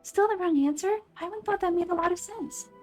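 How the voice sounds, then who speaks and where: Casting: woman to play tiny fairy sidekick for a game, very high, squeaky, childlike, excitable and indignant. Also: quick pace, faint music behind. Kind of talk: video game character dubbing.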